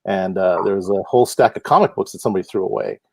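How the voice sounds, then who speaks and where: A man's voice: low voice, fast talker, gravelly, husky, no room sound, studio quality. A middle-aged man from Hawaii, a guest talking easily in a casual interview, with lots of fillers and frequent laughs. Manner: relaxed, laughs often, many fillers. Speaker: guest, comic book artist. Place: home.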